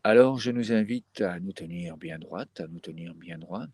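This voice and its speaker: A man leading a live-streamed guided breathing session in a gentle, unhurried way, with frequent fillers and restarts. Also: low-pitched, breathy edge.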